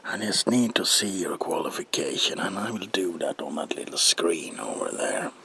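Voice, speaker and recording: A man's voice: deep voice, expressive, normal speed, sharp tone, heavily acted. A man playing a gleefully deranged comic-book villain in a whispered ASMR roleplay, with a theatrical drawl and playful menace. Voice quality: breathy gravelly whisper.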